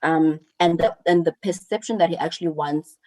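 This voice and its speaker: A woman from South Africa joins an online panel, talking in a low, mellow voice. She is earnest and thoughtful, stumbling over repeated words as she works out her ideas. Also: a little fast, poor audio. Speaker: panel guest. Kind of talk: casual online panel chat.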